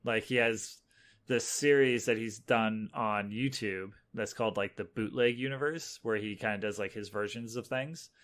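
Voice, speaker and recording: A man's voice: fairly low pitch, normal speed, a bit bright. Hesitant man in casual podcast chat. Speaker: podcast co-host.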